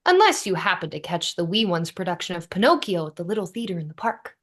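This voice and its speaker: A woman's voice: fairly low pitch, very animated, quick pace. A woman playing a wry, caring young city woman in a sitcom table read, with dry, teasing delivery.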